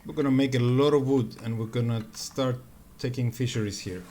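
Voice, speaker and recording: A man's voice: mid-range voice, a little fast, darkish tone, a little hiss or hum. A man streaming live, joking with chat and talking through his moves as he plays. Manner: joking, thinking aloud while playing. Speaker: game streamer. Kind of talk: live gameplay commentary.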